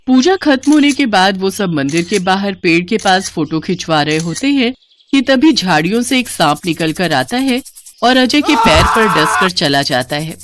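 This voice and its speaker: Low-voiced, expressive woman storyteller. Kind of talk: scripted dramatic story narration.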